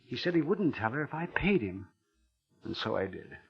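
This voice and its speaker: An American actor playing a timid, browbeaten middle-aged husband with a flustered, stammering, plaintive manner in an old radio drama. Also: male voice, mid-range voice, very animated, normal speed, gravelly, soft background music. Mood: ashamed, plaintive. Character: timid, browbeaten little husband being blackmailed. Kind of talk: 1940s-50s radio drama acting. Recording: muffled old radio recording.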